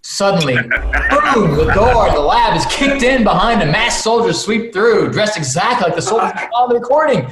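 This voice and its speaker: A man's voice: sits high, animated, normal speed, a crowd in the background. A man reading stage directions dramatically with casual asides at a table read over a video call. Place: indoor room on remote video call. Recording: echoey room, clearly noisy video call.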